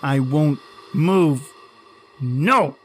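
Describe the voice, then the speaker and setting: A man playing a desperate, grieving man in an old-time horror radio drama, overwrought and halting. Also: a little high, slight background noise. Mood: defiant. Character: anguished desperate man, grieving, defiant against a monster.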